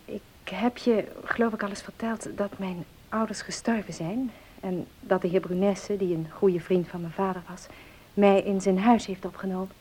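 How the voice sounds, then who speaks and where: A woman's voice: mid-range voice, steady tone, normal speed, dark tone, slight background noise, lightly acted, low-quality recording. An actress playing an earnest, troubled young woman in a Dutch radio play, speaking politely, formally and with some hesitation. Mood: earnest, confiding.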